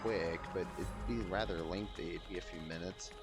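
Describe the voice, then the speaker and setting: A man's voice: low voice, fairly even intonation, moderate pace, creaky. A man streaming a video game, giving casual, rambling, informal and self-deprecating live commentary. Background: game music.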